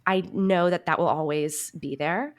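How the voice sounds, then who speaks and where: A woman's voice: low voice, unhurried. A woman speaking as an interview guest, reflective and casual, with an earnest, self-assured manner. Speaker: podcast guest, actor and writer.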